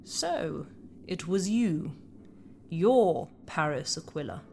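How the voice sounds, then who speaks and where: A woman's voice: low voice, expressive, slow pace, gravelly, a little mellow, a little hiss or hum, full character voice. A woman playing a towering, stern alien healer in an audio drama, sardonic and bitter, with an imperious, blunt delivery. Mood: grave, probing. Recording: music behind the voice.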